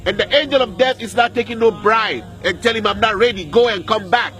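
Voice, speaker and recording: A man's voice: sits high, fairly quick, sharp tone, faint music behind. A man preaching a sermon at a graveyard, repeating lines and putting questions to his listeners, with Arabic verses in between. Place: outdoor graveyard.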